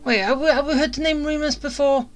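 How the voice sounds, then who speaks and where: A woman giving casual gameplay commentary, with halting, muttered reactions. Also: high-pitched, steady tone. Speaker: gamer. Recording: loud game music over the voice.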